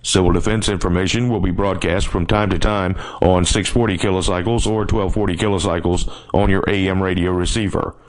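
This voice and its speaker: A man's voice: low voice, steady tone, brisk, hardly any pauses. A man reading a scripted emergency alert in a formal, official mid-century radio announcer style.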